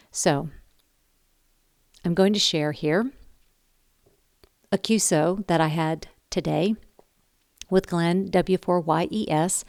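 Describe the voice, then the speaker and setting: Low-pitched woman, earnest and dry-sounding. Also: a little mellow, dry recording. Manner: earnest, encouraging, emphatic repetition. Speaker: ham radio video host. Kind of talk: casual talk to camera. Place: indoor room downstairs at home.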